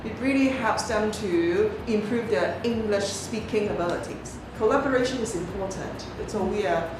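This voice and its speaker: A woman giving interview answers as a drama teacher, speaking haltingly and repeating words. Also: mid-range voice. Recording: distant, echoey, noisy room recording.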